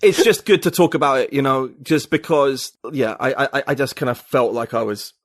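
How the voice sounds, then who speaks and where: A man's voice: medium pitch, moderate pace, dry recording, studio quality. A man, a podcast guest talking reflectively and searching for his words in casual conversation.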